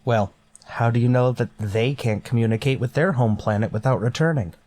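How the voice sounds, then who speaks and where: An actor playing a grim, authoritative starship captain in a golden age sci-fi radio drama, speaking in a stern, deliberate way. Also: male voice, mid-range voice, darkish tone, no room sound, lightly acted.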